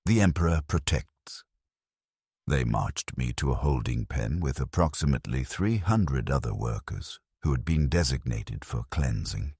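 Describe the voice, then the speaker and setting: Male narrator, grave scripted storytelling: cavernously low, expressive intonation, dry close sound. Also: normal speed, few pauses, slightly rough. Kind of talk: dramatic first-person scripted narration.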